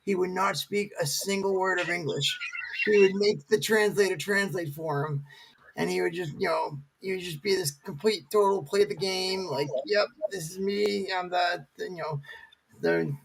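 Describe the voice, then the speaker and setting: A man's voice: sits high, creaky, low-quality recording. A middle-aged man, a military veteran guest, chatting casually with many fillers and restarts. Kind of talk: casual talk show chat.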